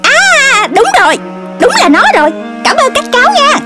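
High lilting mom animal from a kids cartoon, southern vietnamese accent, music behind. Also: female voice, big pitch swings, normal speed. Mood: polite, relieved. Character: motherly adult female cartoon animal. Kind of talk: children's cartoon dub.

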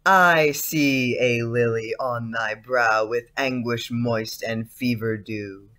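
Man playing a slow, dreamy, insolent schoolboy. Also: medium pitch, pushed and bright. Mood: haunting, dreamy. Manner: slow, theatrical recitation. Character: dreamy, insolent schoolboy reciting eerie old poetry. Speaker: actor in an audio play. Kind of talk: audio drama acting, reciting poetry.

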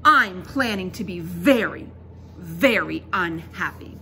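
A woman's voice: lowish voice, expressive, slow pace, a little hiss or hum, full character voice. A woman voicing an indignant, headstrong young girl in a storybook, protesting with heavy emphasis. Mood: sulky. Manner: grumping, loud, emphatic protests.

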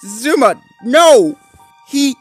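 A man's voice: on the high side, expressive, takes it slowly, darkish tone, faint music behind, voice pushed up. A man voicing an anxious, loyal cartoon Dalmatian pup with a hesitant, pleading manner. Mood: horrified, pleading.

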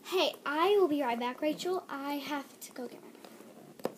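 A woman's voice: high voice, measured pace. A girl of about eleven chatting casually as she hosts a homemade challenge video. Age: child, about 10-12. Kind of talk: casual kids' vlog chat. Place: small bedroom at home. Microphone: phone or tablet camera nearby. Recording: noisy home phone recording.

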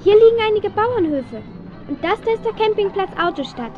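A woman's voice: very high voice, animated, a little fast, highs cut off, soft background music. An actress playing a friendly, earnest teenage girl in a children's radio play. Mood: keen.

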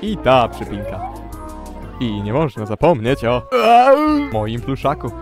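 A man voicing a manic, gleeful cartoon ringmaster with theatrical announcer patter in an animated fan dub. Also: medium pitch, unhurried, soft background music, natural voice. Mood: upbeat salesman.

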